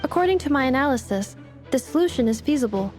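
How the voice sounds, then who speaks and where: A woman's voice: sits high, animated, fast talker, darkish tone, soft background music. A woman voicing a small, analytical girl in a fantasy video game, with precise, clinical scripted speech.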